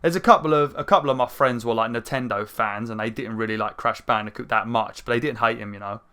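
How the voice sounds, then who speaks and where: A young man with a croaky throat, rambling through casual gameplay commentary with lots of fillers. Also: mid-range voice, fast. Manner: rambling, chatty, many fillers, excited outbursts. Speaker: gaming channel host. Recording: faint game music behind. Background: game music.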